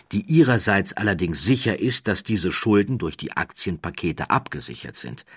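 A man with a standard German accent narrating a scripted documentary story in measured, deliberate phrases, with dramatic emphasis and a wry undertone. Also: low-pitched, slightly rough, no room sound, clean studio sound.

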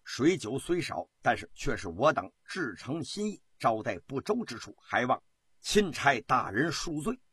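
A man's voice: mid-range voice, big pitch swings, unhurried, fairly bright tone, slightly rough, no room sound, clean studio sound, exaggerated voice. A man voicing a deferential governor in his sixties in a historical story, polite and self-effacing. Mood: apologetic. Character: deferential elderly male governor of sixty-three, humble and courtly.

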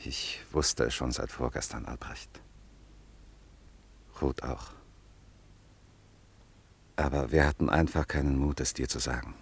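A quiet consoling friend, rough breathy bass, radio play. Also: male voice, fairly even intonation, measured pace, pauses often, full character voice. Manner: gentle, consoling, hushed. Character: Pierre, loyal French friend of the family. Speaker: family friend.

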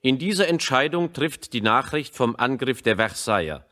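A man's voice: medium pitch, lively intonation, moderate pace, pushed and bright. A man narrating a historical radio drama in a sober, matter-of-fact, report-like way. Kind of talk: scripted radio play scene narration.

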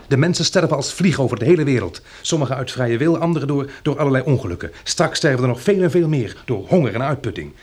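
An actor playing a practical, wry survivor of a catastrophe in a radio drama, matter-of-fact and dryly ironic. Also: male voice, mid-range voice, a little mellow, slightly rough. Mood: stern.

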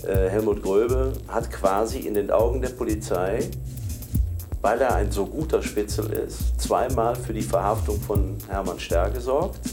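A man's voice: on the low side, a little slow, music in the background. A man interviewing, asking probing, pointed questions.

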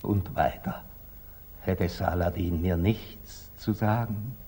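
An actor playing a wise, dignified, middle-aged merchant in measured, solemn declamation, in the style of 1940s radio drama. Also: male voice, low-pitched, dark tone, slightly rough, heavily acted. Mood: careful, polite.

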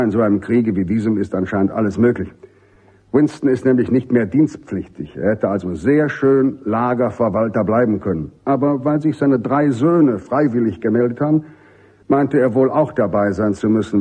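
Army general, male, middle-aged: mellow, slightly rough voice, jovial authority, emphatic radio-play delivery. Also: medium pitch, muffled recording. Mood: explaining, wry. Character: commanding American army general, genial but insistent. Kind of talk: German radio drama acting.

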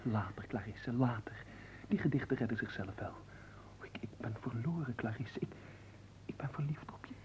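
An actor in a Dutch radio play voicing a cheeky, flirtatious manservant, theatrical and coaxing, often dropping into hushed pleading. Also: male voice, medium pitch, moderate pace, whispered, natural voice, rough recording. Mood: breathy, lovestruck. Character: cheeky, flirtatious manservant, smooth-talking and playfully servile.

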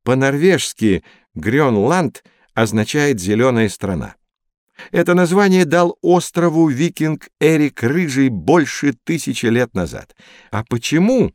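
A learned older male teacher figure with a very animated, mid-pitched voice. He explains and tells stories to children in an educational audio play. The recording is dry and close. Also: unhurried, no room sound. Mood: instructive. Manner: didactic, expressive, storytelling.

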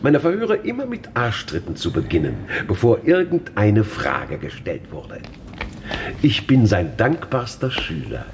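A man's voice: lowish voice, wildly swooping pitch, unhurried, creaky, exaggerated voice. A man playing a brutal, menacing police interrogator in a radio drama, smug, taunting and mock-courteous. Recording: faint noise and ambience behind.

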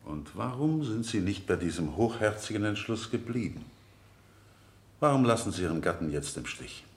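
An actor playing a relentless, insinuating cross-examining lawyer in a radio play, with formal, pointed, sardonic questioning. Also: male voice, on the low side, big pitch swings, moderate pace. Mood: accusing. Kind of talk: 1970 German radio play acting. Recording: echoey vintage radio studio.

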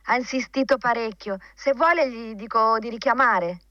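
A dutiful office secretary in a radio play. Her voice is fairly high, with a businesslike, defensive delivery. The sound is dry and a little dull. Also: moderate pace, sharp tone, dry recording. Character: dutiful, slightly defensive female secretary to a boss. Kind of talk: radio drama acting.

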